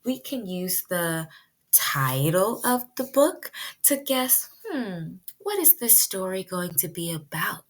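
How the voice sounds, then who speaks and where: A woman preschool teacher leading a virtual read-aloud lesson for young children, speaking in simple, deliberate phrases and putting questions to the children. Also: on the low side, very animated.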